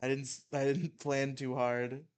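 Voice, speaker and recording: A man's voice: medium pitch, little pitch movement, unhurried, clean tone. A man hosting a live stream podcast in casual, joking interview chat with informal banter.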